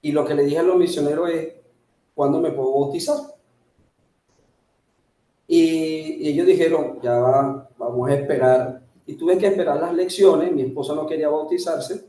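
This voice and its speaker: Dark-voiced Venezuelan man telling his story. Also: fairly high pitch, moderate pace, reverberant. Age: 40s. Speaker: interview guest. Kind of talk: storytelling in an interview.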